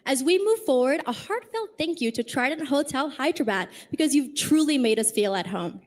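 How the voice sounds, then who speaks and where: A woman's voice: high voice, animated, brisk. A woman hosting a live pageant broadcast, calling out each contestant in an upbeat, projecting way. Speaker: pageant host.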